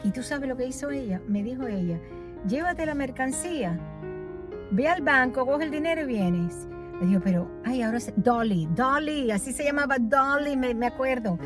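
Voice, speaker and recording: A woman's voice: high voice, lively intonation, normal speed. Female vlogger raised in Los Angeles, rambling and chatty, handheld phone audio with music underneath. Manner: chatty, rambling, changes topic often, laughs at herself. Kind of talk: casual vlog walking tour. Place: outdoor street corner at night.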